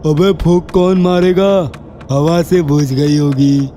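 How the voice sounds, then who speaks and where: A man playing a drinking gang crony who gets possessed, in an animated horror story. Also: a little high, slow pace, faint music behind. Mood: annoyed.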